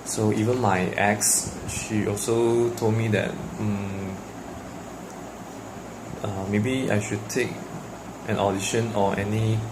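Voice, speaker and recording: A man's voice: fairly low pitch, level tone, slow pace, pauses often, noisy background. A young man from Malaysia with a Malaysian English accent, Mandarin his first language, talking casually to the camera in a personal vlog with lots of fillers and restarts. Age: young adult. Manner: hesitant, many fillers and restarts. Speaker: vlogger.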